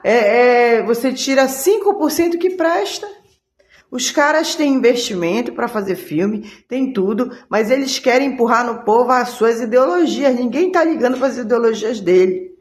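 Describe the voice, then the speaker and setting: Woman preaching to her channel, halting and repeating herself, kinda echoey room. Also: on the high side, lively intonation. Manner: earnest, halting, many restarts and repeated words. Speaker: channel host. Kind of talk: religious monologue to camera.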